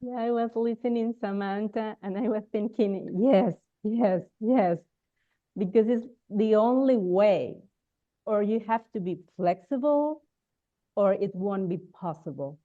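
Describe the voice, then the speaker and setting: Slow earnest woman on a tinny line. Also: medium pitch. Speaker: panelist. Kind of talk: panel discussion.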